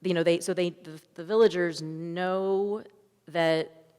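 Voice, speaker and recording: A woman's voice: fairly low pitch, steady tone, slow, a little mellow, clear, resonant tone. A woman speaking conversationally as a film director answering audience questions, telling stories informally. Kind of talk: festival Q&A, conversational storytelling. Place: large reverberant hall at a public event. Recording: echoey hall with long reverb.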